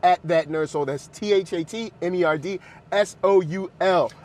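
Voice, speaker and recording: High-voiced, playful man. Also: moderate pace. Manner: playful, excitable, repeats phrases for emphasis. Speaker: film reviewer and channel host. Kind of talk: casual, unscripted movie reaction chat.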